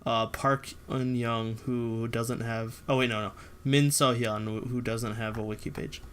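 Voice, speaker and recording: A man's voice: mid-range voice, flat delivery, unhurried, a bit bright, clear, resonant tone. A man in his mid-twenties co-hosting a podcast, dryly chiming in with trivia during casual chat. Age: mid-20s. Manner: dry, chimes in with trivia. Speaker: podcast co-host.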